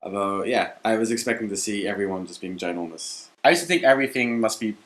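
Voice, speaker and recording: A man's voice: on the low side, quick pace, a bit bright. A man in his twenties from Belgium, telling his life story in a casual, reflective way in an interview. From Belgium, English family.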